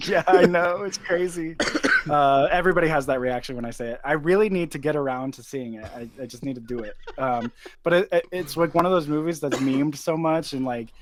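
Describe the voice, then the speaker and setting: A man's voice: fairly high pitch, brisk, slight background noise. A man guesting on a film podcast, talking earnestly with lots of fillers. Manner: earnest, filler-heavy, many "like"s. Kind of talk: casual movie chat.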